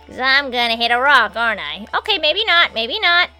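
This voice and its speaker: A woman in her twenties chatting casually as she live streams a game, excitable and foul-mouthed. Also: high-pitched, moderate pace. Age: 20s. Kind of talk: casual gameplay commentary and chat with viewers. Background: video game music and sound.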